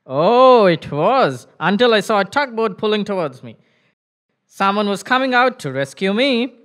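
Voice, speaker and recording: A man voicing an elderly fisherman grandfather in a children's puppet show, with a slow, drawn-out storytelling manner. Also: high voice, very animated, a bit bright.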